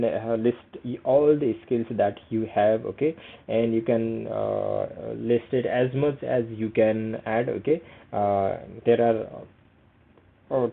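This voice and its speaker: Gravelly-voiced man with a Nepali accent. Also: mid-range voice. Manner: hesitant, many fillers, frequent "okay". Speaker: vlogger. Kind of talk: screen-share tutorial. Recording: tinny phone-like audio.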